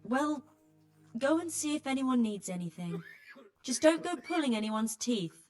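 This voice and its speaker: An actress playing a weary, blunt healer who tends refugees, with dry exasperation, in a dramatic video game. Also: female voice, sits high, animated, measured pace, a little mellow, soft background music. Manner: exasperated, dry, plain-spoken.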